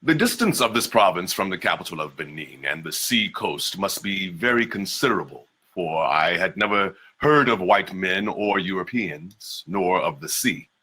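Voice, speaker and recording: A man reading aloud, in a measured and formal way, as an 18th-century memoirist recalling his African childhood. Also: sits low, lively intonation, bright, forceful tone, breathy edge, exaggerated voice. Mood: dignified.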